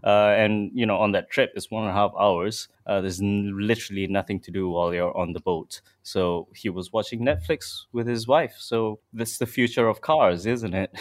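Low-voiced man reading car news. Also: normal speed. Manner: relaxed, chatty, with many fillers. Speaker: news presenter on car show. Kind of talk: casual radio chat.

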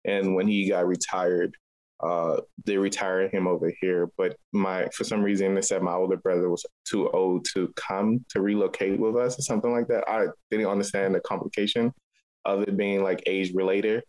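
A man's voice: sits low, little pitch movement, moderate pace, a little mellow, low-quality recording. A man from Liberia, a local artist, answering interview questions in a conversational way. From Liberia, West Africa.

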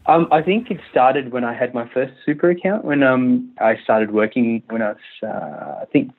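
A man in his thirties with an Australian accent, a country doctor answering interview questions in a hesitant, halting way with many fillers. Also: mid-range voice. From Malaysia, raised in Western Australia. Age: 30s. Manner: hesitant, many restarts and fillers, laughs easily. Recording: tinny phone line.

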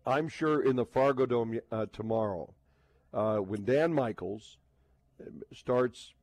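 A man hosting a casual sports radio talk show, speaking in a halting, repetitive way and often restarting his sentences. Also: mid-range voice, a little mellow, no room sound.

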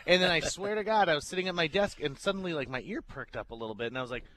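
A man's voice: a little high, racing, a bit bright, slight background noise. A man on a radio show telling stories in casual, jokey banter. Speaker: radio personality and playwright.

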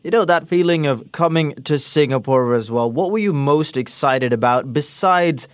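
A man hosting a radio interview, asking questions in a smooth, measured way. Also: on the high side, no room sound, clean studio sound. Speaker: radio host.

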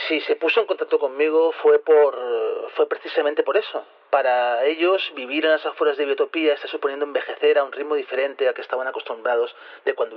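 An actor playing an earnest, quietly indignant scientist and plotter in an audio drama, explaining insistently in a Castilian accent. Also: male voice, mid-range voice, natural voice. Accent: Castilian Spanish from Spain. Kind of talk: Spanish audio fiction acting. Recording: slightly dull radio-like recording.

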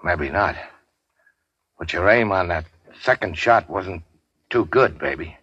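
An actor playing a weary, sardonic gangster in a 1940s radio drama, terse and slangy. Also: male voice, low voice, animated, slow, fairly bright tone, husky, dry recording, full character voice. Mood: strained, weary. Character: weary hard-boiled gangster, wounded, sardonic and unafraid. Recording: dull 1940s radio recording.

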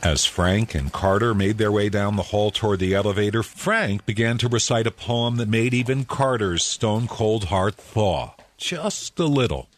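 A male narrator for a scripted audio drama. His voice sits fairly low, and he tells the story in a measured manner.

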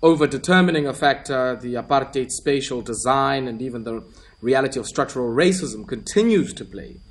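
A man hosting a radio talk show and pressing a guest with questions, steady with frequent fillers. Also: medium pitch, fairly quick. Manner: probing, steady interviewer with fillers.

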